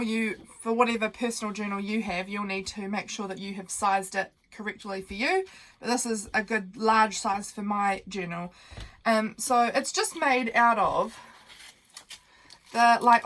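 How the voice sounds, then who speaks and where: A woman's voice: medium pitch, fairly bright tone. A young woman who sounds nasal from a cold, hosting a casual, meandering craft tutorial full of fillers while she works at her desk.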